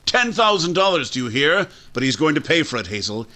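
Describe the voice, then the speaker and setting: A man's voice: on the high side, sing-song, fairly quick, pushed and bright. A man playing a stern older father and banker in 1940s radio drama style, blunt, folksy and indignant. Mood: righteous anger. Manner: folksy, accusing.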